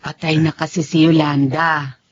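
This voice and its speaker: A woman's voice: low voice, flat delivery, measured pace, a little hiss or hum. A Filipino woman playing an elderly, caring mother and grandmother in a radio-style drama. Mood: somber, pitying. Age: older. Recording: dull lo-fi audio with music behind.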